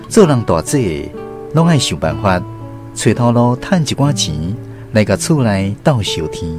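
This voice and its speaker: A man with a Taiwanese accent narrating a scripted radio drama as its storyteller. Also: fairly low pitch. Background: music under the narration.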